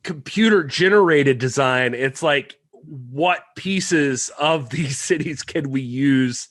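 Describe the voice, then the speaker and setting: A man's voice: medium pitch, slow pace, a bit bright, no room sound, clean studio sound. A man co-hosting a casual film podcast, rambling with lots of fillers and self-deprecating asides. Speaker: podcast co-host.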